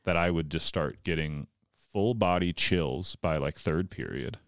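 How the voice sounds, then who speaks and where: A man's voice: sits low, unhurried, clear, resonant tone, dry recording, clean studio sound. A man in his thirties, a comedian guest, talking casually in a rambling way with lots of likes and restarts. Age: 30s. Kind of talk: casual podcast conversation.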